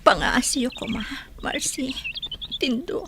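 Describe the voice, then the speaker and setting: An actress playing a weary, grieving older widow in a radio drama. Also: female voice, high-pitched, lively intonation, takes it slowly, a bit bright, whispered, exaggerated voice. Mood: grieving, tearful. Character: grief-stricken older widow Aurelia, weary and grateful. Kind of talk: radio drama acting in Ilocano.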